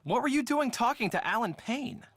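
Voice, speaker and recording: Slippery casual young dude for a game, swoopy delivery, close dry sound. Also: male voice, extremely high pitch, expressive, moderate pace, a bit bright, no echo, full character voice. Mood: defensive. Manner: glib, casual, evasive. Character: evasive, breezy young man, a groom's best friend. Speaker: video game voice actor. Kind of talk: scripted game dialogue.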